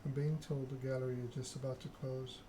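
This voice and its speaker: A man's voice: mid-range voice, level tone, fairly quick, warm tone, a little hiss or hum, rough recording. A man doing an ASMR read-aloud of a narrative game, occasionally stumbling over the written text. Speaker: gaming channel host.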